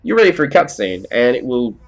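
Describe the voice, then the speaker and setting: A man's voice: medium pitch, very animated, brisk. A man giving a conversational, explanatory video game review as commentary over gameplay.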